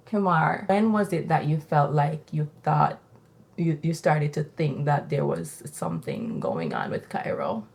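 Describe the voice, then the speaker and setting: A woman with a Jamaican accent and a low, grounded voice speaks candidly as a podcast host. Her talk is reflective and personal. The room around her rings with noticeable echo. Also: big pitch swings, measured pace, creaky, a little mellow.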